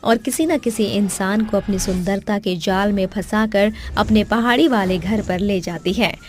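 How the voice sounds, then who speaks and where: A woman's voice: medium pitch, measured pace, noisy background. A woman reading scripted narration for a cartoon horror story. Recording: background music under the voice.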